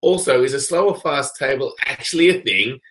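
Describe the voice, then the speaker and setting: Raspy man reading viewer questions. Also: medium pitch, expressive, fairly quick, a bit bright. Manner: easygoing, joking. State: raspy voice from a cold. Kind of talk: casual chat.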